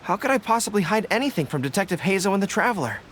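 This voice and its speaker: Game role: honourable military leader, high-pitched and expressive, formal, sincere, quick to apologise. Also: male voice, slight background noise. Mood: sincere, apologetic. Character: earnest, honourable young male army general. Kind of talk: video game voice acting.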